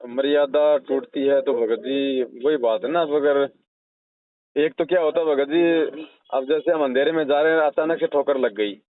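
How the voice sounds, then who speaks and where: A man's voice: medium pitch, normal speed, no room sound. A man giving informal religious counsel over the phone, explaining and admonishing, often checking with short questioning tags. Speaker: spiritual adviser taking a recorded call. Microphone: telephone. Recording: tinny phone call recording.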